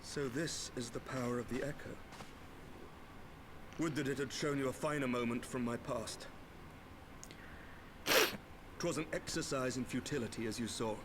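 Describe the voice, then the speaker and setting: An actor playing an earnest, formal young nobleman knight in a video game, speaking in measured archaic phrases. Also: medium pitch, noisy background, close to an everyday voice. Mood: rueful. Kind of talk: dramatic video game voice acting.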